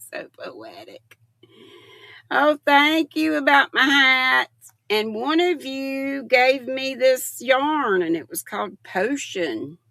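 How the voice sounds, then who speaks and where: Older woman hosting a livestream, gravelly, unhurried delivery. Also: high voice, sharp tone, no room sound. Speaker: livestream host. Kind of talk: casual chat with live viewers.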